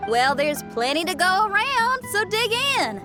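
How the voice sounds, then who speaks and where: A friendly young rocker girl from a fantasy game. Her high voice bounces around in a folksy, easygoing way, with music playing behind. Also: sing-song, a little slow, full character voice. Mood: hearty. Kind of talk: animated game voice acting.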